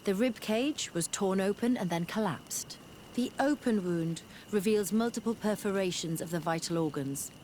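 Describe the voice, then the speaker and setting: An actress playing a scholarly, detached physician in a video game, formal and exact in speech. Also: female voice, mid-range voice, moderate pace, darkish tone, slight background noise, lightly acted. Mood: clinical, assured. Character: clinical, scholarly female physician, detached and precise. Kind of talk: video game cutscene acting.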